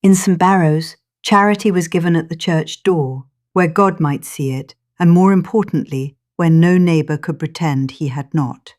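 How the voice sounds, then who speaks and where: A woman narrating a scripted story in a measured, literary storytelling manner. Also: low-pitched, a little mellow.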